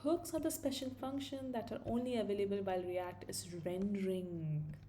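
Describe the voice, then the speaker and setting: A woman's voice: medium pitch, normal speed, mellow, slight background noise. A young woman from India with an Indian accent, casually thinking aloud as she walks through a coding tutorial, with fillers and self-corrections. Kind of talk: screen-recorded coding tutorial.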